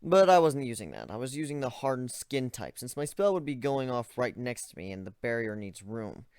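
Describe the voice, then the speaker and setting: Male reader, expressive first-person narration with wide pitch swings; dry, close recording. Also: fairly quick, smooth, no room sound. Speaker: story reader. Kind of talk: dramatic reading of a story.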